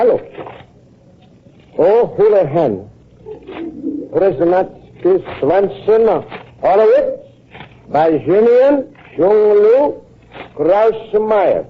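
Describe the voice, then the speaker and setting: A naive Italian newcomer with a high, swooping voice. He speaks earnest broken English with a thick Italian accent, radio comedy style. The old broadcast sounds dull and muffled. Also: full character voice. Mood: puzzled, hopeful. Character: naive, earnest, lovestruck Italian immigrant man.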